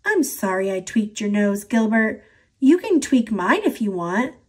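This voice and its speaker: A woman's voice: medium pitch, sing-song, measured pace, breathy edge. A woman voicing a young schoolboy in a children's storybook, speaking in an indignant, exclaiming way. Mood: sheepish. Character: young schoolboy, rough and quick to protest.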